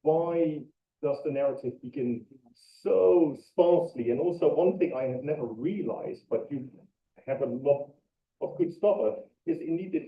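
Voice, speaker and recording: A man in the audience asks a question at a conference, halting and repeating his words. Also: a little high. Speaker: audience member asking a question. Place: lecture hall. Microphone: far from the microphone. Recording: roomy, distant, lo-fi hall sound.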